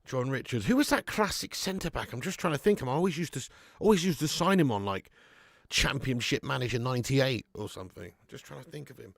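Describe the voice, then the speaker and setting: A man hosting a live fan watch-along of a football match at home, chatting to viewers with sweary banter and bursting into loud cheering at chances. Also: medium pitch, animated, a little fast, gravelly, few pauses, dry recording. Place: at home in front of a camera.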